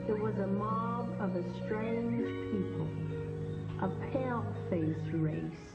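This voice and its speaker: An actress playing an elderly, dignified and sorrowful royal Egyptian grandmother in a solemn historical drama. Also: medium pitch. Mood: grave, sorrowful. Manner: solemn, stately, deliberate. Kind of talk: stage-style historical dramatization. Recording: echoey reverberant hall, dull sound, loud music.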